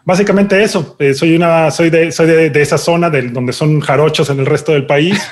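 A Mexican man from Veracruz with a Mexican Spanish accent answering interview questions, relaxed, repeating words as he searches for them. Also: on the high side, moderate pace. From Xalapa, Veracruz, Mexico. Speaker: guest researcher.

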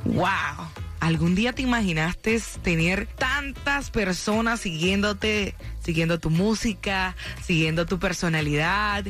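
A woman's voice: medium pitch, measured pace, fairly bright tone. Gushing woman radio host. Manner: gushing, flirtatious, effusive. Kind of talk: enthusiastic radio interview. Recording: faint music underneath.

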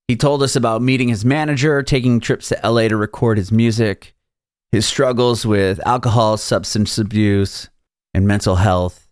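Male podcast host, young adult, low-ish voice, relaxed and slangy, dry close sound. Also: smooth, no echo. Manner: laid-back, uses casual slang. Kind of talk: read intro, then casual interview chat.

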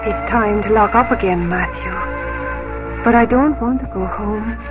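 An actress playing a dreamy, troubled young Irish schoolmistress in a 1950s radio drama. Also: female voice, medium pitch, measured pace, lightly acted. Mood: wistful, reluctant. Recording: dull 1950s radio recording, loud music behind.

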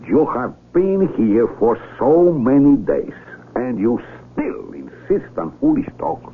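Blunt blind doctor, slow, animated, mocking, contemptuous, old radio play sound. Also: male voice, mid-range voice, breathy edge, heavily acted. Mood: scornful, dismissive. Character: scornful blind village doctor and farmer, gruff, bluntly honest. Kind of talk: radio drama acting. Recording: tinny vintage radio broadcast with hum.

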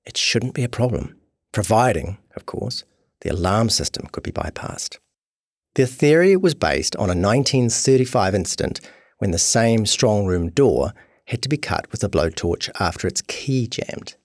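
A man's voice: on the low side, sing-song, normal speed, no echo, clean studio sound. A man reading a scripted true crime long-read as narrator. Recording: music behind voice. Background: guitar music.

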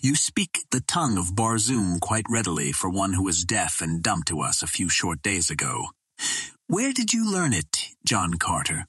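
A man voicing a stern green Martian warrior chieftain in an adventure storybook, formal and measured, with a husky voice. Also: low voice, big pitch swings, fairly bright tone, no echo, exaggerated voice. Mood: quizzical.

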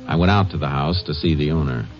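Stern, shrewd American lawman, very low and matter-of-fact, old radio crime drama, dulled band-limited sound. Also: fast talker. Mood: dry, matter-of-fact. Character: stern, shrewd Texas Ranger lawman and narrator. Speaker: lead actor and narrator. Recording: muffled old radio recording with music.

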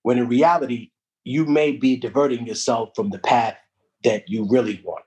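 Husky-voiced man from Peru, storytelling. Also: mid-range voice, moderate pace. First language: Spanish. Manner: reflective storytelling, street slang, animated asides. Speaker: guest. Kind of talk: casual podcast conversation.

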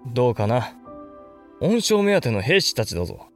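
Cynical samurai lord, mid-pitched. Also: male voice, very animated, normal speed, faint music behind. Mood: cynical, reflective. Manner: blunt, detached, matter-of-fact. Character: cynical, worldly samurai lord, an elder brother. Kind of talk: Japanese historical audio drama acting.

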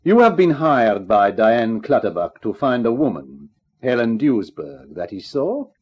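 A man's voice: mid-range voice, big pitch swings, unhurried, slightly rough. An actor playing a formal, calculating foreign man in a 1950s radio drama. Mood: polite. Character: smooth, formal foreign schemer, middle-aged man. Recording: dull 1950s radio recording.

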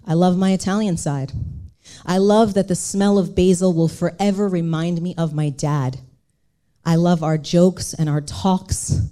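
A woman tells a personal story at a live event. Her lowish, mellow voice swings between playful jokes and earnest reflection. She speaks with animated energy. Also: unhurried. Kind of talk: personal talk on stage.

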